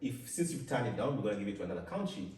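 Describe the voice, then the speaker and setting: A young man from Uganda, a guest telling a story in a casual chat, animated and full of quick repetitions. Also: lowish voice. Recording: echoey room, mic at a distance, faint ambience.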